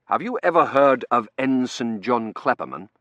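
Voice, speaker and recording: A commanding male academic as a man narrating an audiobook plays him. He is brisk and easily exasperated, with very lively intonation and an authoritative manner, recorded dry. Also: mid-range voice, no room sound, muffled recording, pitched above the performer's own voice. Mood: dry, firm.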